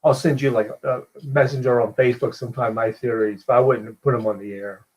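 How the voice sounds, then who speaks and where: A man joining a livestream call, chatting casually in quick bursts. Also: mid-range voice, steady tone, darkish tone, husky, low-quality recording. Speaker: guest on a call. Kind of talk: casual chat.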